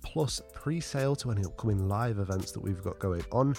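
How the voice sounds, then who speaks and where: A man with a fairly low voice hosts a podcast in a casual, conversational way. Music plays behind him. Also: brisk, vocal fry, a little mellow. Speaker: podcast host.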